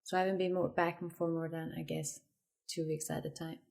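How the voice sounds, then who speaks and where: A woman's voice: sits low, flat delivery, a little fast, creaky, darkish tone. A woman in her late twenties from Iran, answering interview questions reflectively and often restarting her sentences. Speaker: interview guest. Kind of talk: conversational interview.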